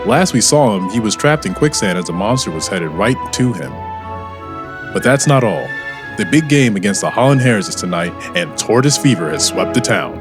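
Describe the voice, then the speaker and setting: A man's voice: fairly low pitch, very animated, normal speed. A man hosting and narrating a scripted radio drama. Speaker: host and narrator. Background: music.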